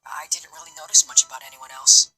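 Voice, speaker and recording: A man voicing a nervous, soft-spoken young male college student in a visual novel game, with a breathy, hesitant delivery. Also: mid-range voice, flat delivery, fast talker. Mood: awkward, apologetic. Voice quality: breathy, whispery.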